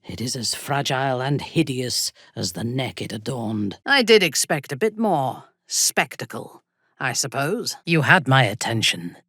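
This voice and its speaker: A woman playing a haughty, ruthless dark elf warrior in a fantasy video game, speaking in a measured, imperious, formal way with a breathy, husky voice. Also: a bass voice, lively intonation, dry recording, studio quality, exaggerated voice. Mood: scornful. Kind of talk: scripted video game character lines.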